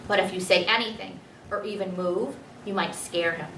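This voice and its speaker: Storybook father, voiced by a woman: earnest, kindly authority, expressive lines. Distant, roomy mic. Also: medium pitch, moderate pace, a little hiss or hum. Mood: coaxing, encouraging. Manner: expressive, emphatic storybook dialogue. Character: earnest, pleading father, a school principal, firm but kind.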